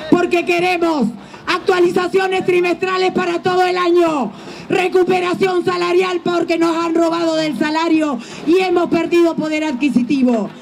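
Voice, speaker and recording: A middle-aged woman with an Argentine accent giving an impassioned, forceful rally speech outdoors into a handheld microphone, as a union leader. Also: very high voice, low-quality recording. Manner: impassioned, forceful shouted oratory. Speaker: teachers' union leader and legislator. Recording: outdoor microphone with faint noise.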